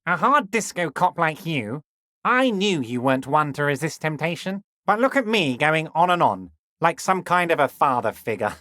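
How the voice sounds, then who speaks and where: Game role: a folksy scheming union boss, sweet-talking and sly, bouncy intonation, close dry mic. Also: male voice, fairly high pitch, sing-song, moderate pace, sharp tone, no room sound. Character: scheming jovial male union boss, middle-aged. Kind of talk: video game voice acting.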